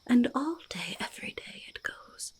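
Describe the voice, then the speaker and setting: A woman voicing a curious, skittish and chatty forest goblin in soft-spoken, half-whispered ASMR roleplay, halting and repeating words. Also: a little high, big pitch swings, breathy edge, exaggerated voice. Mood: fond, hushed. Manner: halting, repetitive, speaks of self in third person.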